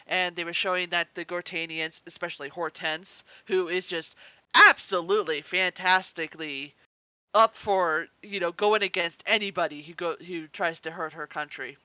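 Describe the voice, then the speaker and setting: Bright, clear-voiced woman chatting. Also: on the low side, moderate pace, dry recording. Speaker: podcast co-host. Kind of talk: casual explanatory review chat.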